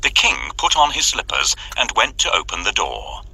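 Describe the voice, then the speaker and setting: A man narrating a children's story in a dramatic, expressive, scripted style. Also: lowish voice, moderate pace, gravelly, breathy edge.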